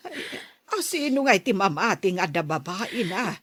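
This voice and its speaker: A woman with an Ilocano accent acting a calm, doubting older mother in a radio drama. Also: medium pitch, moderate pace, slightly rough, no room sound, studio quality. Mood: doubting, soothing.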